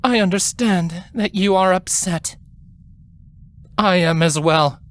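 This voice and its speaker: A woman's voice: lowish voice, takes it slowly, pitched above the performer's own voice. A woman voicing a stern, regal elder alicorn queen, grim and commanding, in a dramatic fantasy story reading. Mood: wrathful, authoritative. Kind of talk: dramatic fan fiction reading.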